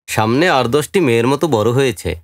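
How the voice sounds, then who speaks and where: Male actor as knowing village informant, lively intonation, dry close sound, audio drama. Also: medium pitch, brisk, no room sound, natural voice. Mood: grave, intrigued. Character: knowing village man recounting local murders.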